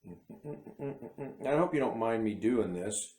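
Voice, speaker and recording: A man's voice: mid-range voice, lively intonation, slow pace, mellow, echoey room. A man giving a casual, rambling bible commentary to camera, often breaking off and restarting his sentences. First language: English. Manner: rambling, self-interrupting, conversational. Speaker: independent bible teacher.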